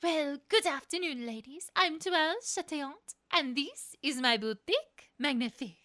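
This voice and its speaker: A woman's voice: sits high, lively intonation, moderate pace, pushed and bright. A woman voicing a gushing, pompous aristocratic pony dressmaker in a fan-made audio story, with genteel, effusive sales patter. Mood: effusive welcome. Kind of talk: fan fiction audio drama character acting.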